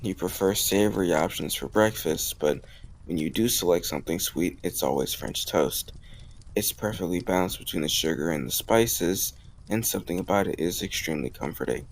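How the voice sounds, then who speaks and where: A man's voice: low-pitched, lively intonation, moderate pace. A man playing a bold, teasing young college student in love, in an intimate, conversational romantic audio roleplay. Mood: playful, teasing.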